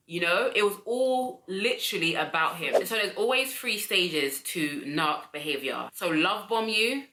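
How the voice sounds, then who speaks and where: A woman's voice: fairly low pitch, sharp tone, roomy sound, low-quality recording. A woman with a Nigerian accent, talking to camera about a past relationship and listing points with emphasis. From raised in England. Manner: emphatic, lists points in quick clauses. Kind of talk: direct-to-camera personal talk.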